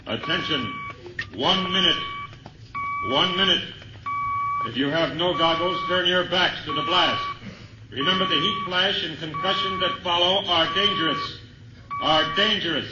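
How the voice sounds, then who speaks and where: An actor playing a military announcer giving clipped, formal countdowns over a loudspeaker in a 1950s radio drama. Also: male voice, high voice, unhurried, long pauses, echoey room, heavy background noise. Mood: urgent.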